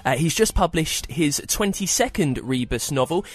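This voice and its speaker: A man's voice: on the high side, animated, moderate pace. A man hosting a podcast, introducing and interviewing in a scripted presenter's style.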